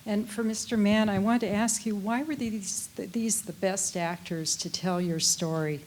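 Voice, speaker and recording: A woman's voice: mid-range voice, steady tone, moderate pace, a little mellow, slight background noise. A woman journalist who congratulates the filmmakers, then asks a hesitant question.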